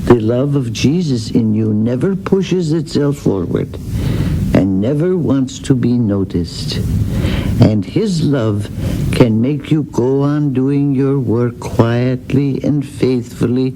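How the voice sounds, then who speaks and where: A devout old grandmother in a radio drama, kind but firm. She speaks slowly, with a lively, swooping melody and an earnest, instructive manner. The broadcast recording is clearly noisy. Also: a bass voice, exaggerated voice. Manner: gently instructive, earnest, measured.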